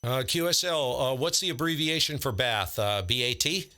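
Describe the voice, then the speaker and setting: A man's voice: medium pitch, expressive, normal speed, a crowd in the background. A man speaking bluntly and informally, chatting casually and calling as a ham radio livestream host.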